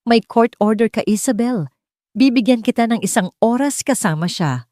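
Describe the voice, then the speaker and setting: A woman's voice: a little high, lively intonation, moderate pace, dark tone, dry recording, studio quality, voice pushed up, natural voice. A woman narrator voicing a cold, commanding billionaire father with an emotionless, authoritative manner in an audiobook.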